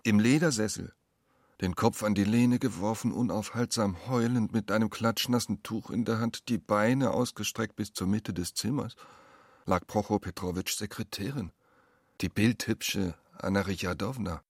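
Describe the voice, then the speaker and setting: An actor as a storyteller in a radio play, narrating deliberately and deadpan with a husky voice. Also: male voice, on the low side, dry recording, studio quality.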